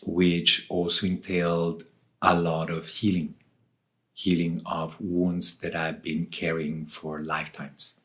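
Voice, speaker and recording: Deep-voiced, slow-speaking man from Switzerland. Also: flat delivery, fairly bright tone. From Switzerland, southern part. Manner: deliberate, with short phrases and long pauses. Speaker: author and ayahuasca facilitator, interview guest. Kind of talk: reflective spoken interview answers.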